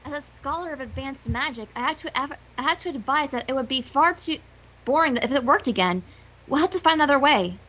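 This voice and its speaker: A woman voicing an earnest, bookish cartoon pony scholar in a measured, pedantic way. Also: high voice, animated, a bit bright, poor audio. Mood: grave.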